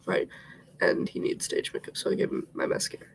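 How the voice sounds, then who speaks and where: A sick, congested young man chatting casually while getting ready on a home livestream, rambling and often slipping into a whisper. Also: fairly quick. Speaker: vlogger.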